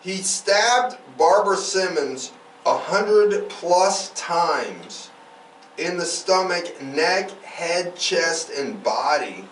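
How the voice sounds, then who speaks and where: A man's voice: high-pitched, lively intonation, extremely slow, fairly bright tone. A man hosting a true-crime podcast, telling cases informally with emphatic, opinionated commentary. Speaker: podcast host. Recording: roomy, distant mic, faint noise.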